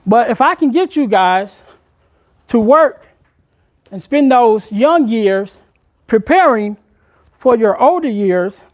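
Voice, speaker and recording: A man, a motivational speaker addressing a room of high school students, asking them questions and urging them to take part. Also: expressive, slow pace, pauses often. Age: 40s. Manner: engaging the audience with questions, conversational and encouraging.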